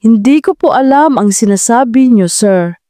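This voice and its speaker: A humble young working woman in a romance audio drama, voiced by a female narrator. She is deferential and wounded yet keeps herself steady, in a mellow, dryly recorded voice. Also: on the high side, normal speed, no echo, clean studio sound, lightly acted. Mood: meek, hurt. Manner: deferential, trying to sound steady. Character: humble, hurt young woman servant hiding pain.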